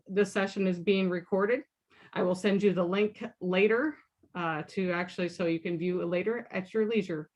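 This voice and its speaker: A woman moderating an online Q&A session, giving orderly housekeeping instructions before introducing the guest. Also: medium pitch. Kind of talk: opening a recorded online Q&A.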